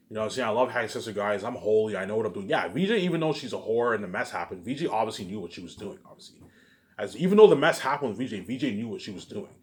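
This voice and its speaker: A man giving a rambling, repetitive personal monologue, restarting sentences, leaning on verbal tags and starting emphatically before trailing off into mutters. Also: on the low side. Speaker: vlogger.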